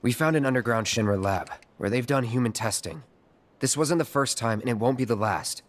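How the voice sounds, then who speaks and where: An actor playing an earnest, determined young man in a video game drama, speaking gravely and insistently. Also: medium pitch, fairly quick, a little hiss or hum, natural voice. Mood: urgent pleading. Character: earnest, determined man pleading urgently for a captive friend.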